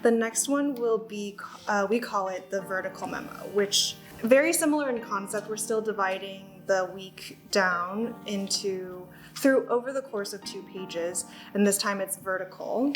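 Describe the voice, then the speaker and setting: Woman from Taiwan, casual unboxing chat, measured explaining, music underneath. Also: medium pitch, rough recording. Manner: explanatory, measured walkthrough with fillers. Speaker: stationery shop co-host.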